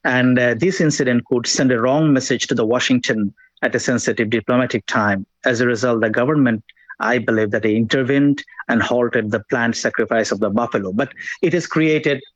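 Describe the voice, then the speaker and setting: A man's voice: mid-range voice, a little fast, no room sound. Bangladeshi male journalist on a dull link. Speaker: journalist giving a live report. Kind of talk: live interview, unscripted.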